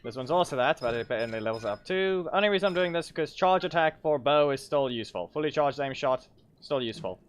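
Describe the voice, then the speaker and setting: A man's voice: on the high side, lively intonation, fast. A man giving casual gameplay commentary as a streamer, in a rambling, self-correcting way with muttered asides. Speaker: game streamer. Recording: clearly noisy stream audio with clicks.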